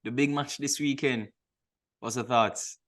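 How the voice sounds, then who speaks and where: A man's voice: mid-range voice, steady tone, unhurried, a bit bright. A man co-hosting a casual football podcast, talking in a slangy, emphatic way and repeating words for stress. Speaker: podcast co-host.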